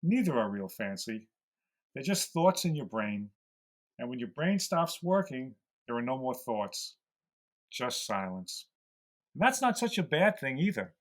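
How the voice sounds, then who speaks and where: A man playing an aging, weary, gentle mouse who speaks as a calm, fatherly mentor in an audio drama. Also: medium pitch, a little slow. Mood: calm, philosophical.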